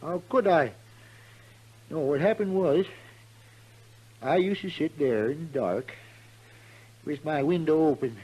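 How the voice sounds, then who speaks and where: A frail old man of the Old West speaks slowly in a folksy drawl. His voice sits a little high and sounds worn out, proud of his tale but weary. It has the sound of a vintage 1950s radio western. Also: darkish tone, breathy edge, poor audio. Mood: weary, confiding. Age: older. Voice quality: frail, tired.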